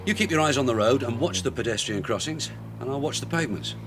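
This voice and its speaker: An actor playing a shrewd, middle-aged police sergeant in a radio drama, dry, sarcastic and bossy. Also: male voice, mid-range voice, expressive, fast talker, background noise, lightly acted. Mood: wry. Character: shrewd, sardonic veteran London detective sergeant. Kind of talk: naturalistic radio drama acting.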